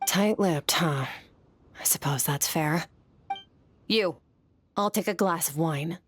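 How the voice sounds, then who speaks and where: A woman's voice: sits low, slow, a little hiss or hum. A woman playing an aloof, mysterious swordswoman in a video game, speaking in a detached, terse and solemn way. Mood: cool, indifferent. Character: aloof, mysterious otherworldly swordswoman, cool and blunt.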